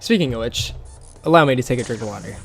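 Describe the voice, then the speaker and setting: Gravelly man with a scratchy, tired voice. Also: mid-range voice, expressive, fast talker, soft background music. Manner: rambling, with many fillers and small laughs. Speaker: gameplay commentator. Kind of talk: casual game commentary.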